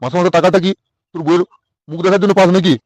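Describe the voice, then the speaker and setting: A man's voice: high-pitched, fairly even intonation, racing, darkish tone, dry recording, lightly acted. A man voicing a brusque, foul-mouthed older boss who bullies his workers in a comedy cartoon. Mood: scolding. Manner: brusque, scolding. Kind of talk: Bengali comedy cartoon dub.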